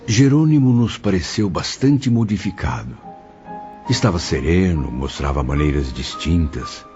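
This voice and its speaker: A male narrator with a formal, reflective storytelling style. His voice is very deep and dark, with animated intonation. Music sits quietly behind him. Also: a little slow. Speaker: first-person narrator. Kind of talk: scripted dramatic narration. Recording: slightly muffled with music behind.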